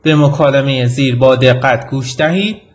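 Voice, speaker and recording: A man's voice: medium pitch, very fast. A man reading formal instructions to students in a textbook listening exercise. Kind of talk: scripted instructional announcement.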